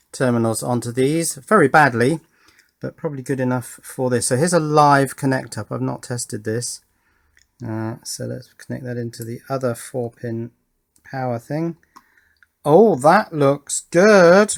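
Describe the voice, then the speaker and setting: A man giving a casual, unscripted hands-on demonstration as an electronics hobbyist, thinking aloud and hesitating as he goes. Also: mid-range voice.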